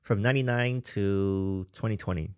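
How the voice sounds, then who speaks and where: A man's voice: fairly low pitch, moderate pace, clean tone, dry recording, studio quality. A man from Vietnam hosting a podcast, talking casually and carefully, hedging his points with restarts and qualifiers. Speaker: podcast host. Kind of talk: casual conversational interview.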